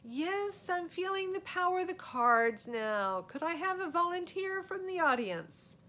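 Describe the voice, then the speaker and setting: A woman's voice: measured pace, heavily acted. A woman voicing a boy who bluffs as a grand stage magician in a storybook, with showy, declamatory patter. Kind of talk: storybook character voice. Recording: steady noise with low rumble.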